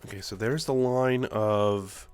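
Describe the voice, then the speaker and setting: A man's voice: lowish voice, slow pace, a little mellow, clear, resonant tone, soft background music. A man with a stuffy nose from a cold, casually thinking aloud as he plays and builds in a game. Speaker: let's play host. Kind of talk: casual gameplay commentary.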